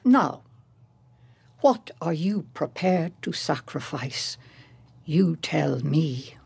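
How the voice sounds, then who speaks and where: A creaky, husky, insistent tempter. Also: female voice, low voice, animated, a little mellow, no room sound, heavily acted. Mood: insistent, persuasive. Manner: insistent, measured, rhetorical questioning. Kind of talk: radio drama acting.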